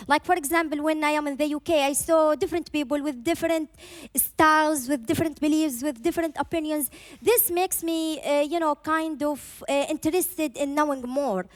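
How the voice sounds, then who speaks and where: Panel guest, woman from Iran, English, earnest and high-pitched, pauses on fillers. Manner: earnest, many "uh" and "you know" fillers. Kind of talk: panel discussion.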